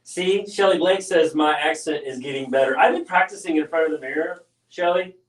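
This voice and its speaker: A man's voice: on the high side, fairly quick. A man co-hosting, reading out viewer comments and joking in a goofy, self-deprecating way. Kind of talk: playful banter. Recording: roomy sound from a distance.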